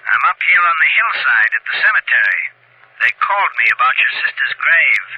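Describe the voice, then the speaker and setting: Husky, sardonic caller on telephone. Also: male voice, medium pitch, big pitch swings, brisk, dry recording. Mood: sly menace. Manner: mocking, insinuating. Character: sardonic, needling male brother-in-law, coolly menacing. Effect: telephone filter. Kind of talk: 1940s radio drama acting. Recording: dry, muffled vintage radio phone effect.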